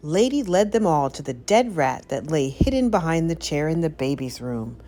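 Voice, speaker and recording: A woman narrating a children's story as an expressive read-aloud. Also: deep voice, moderate pace, faint music behind.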